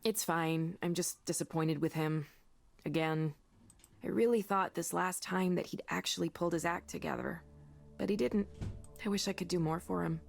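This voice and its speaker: An actress playing a candid, kindly co-worker in a visual novel, with a frank, conversational delivery. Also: female voice, on the low side, fairly even intonation, moderate pace, gravelly, soft background music. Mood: weary concern. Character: candid, weary but kindly woman, friendly co-worker.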